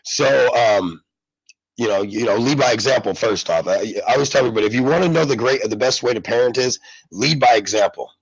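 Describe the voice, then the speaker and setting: A man's voice: mid-range voice, fairly quick, creaky, husky, dry recording, muffled recording. A man hosting a casual live radio show, congested, rambling and repeating himself with many fillers. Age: adult parent.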